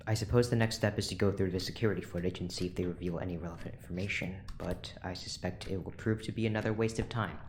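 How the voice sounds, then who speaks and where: Man playing a weary, low-voiced scientist boss. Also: steady tone, fast, hardly any pauses, a little hiss or hum, close to an everyday voice. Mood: weary, exasperated. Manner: curt, sarcastic, sighing. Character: weary, irritable scientist boss running secret experiments. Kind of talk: audio drama acting.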